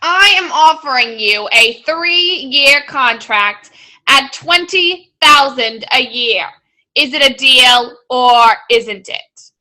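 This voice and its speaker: Radio play villainess, acted by a woman: grasping old banker, very bright hard voice, measured and condescending. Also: sits high, exaggerated voice, rough recording. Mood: demanding. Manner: deliberate, condescending, commanding. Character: scheming old female banker, rich, domineering and grasping. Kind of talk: old-time radio drama acting.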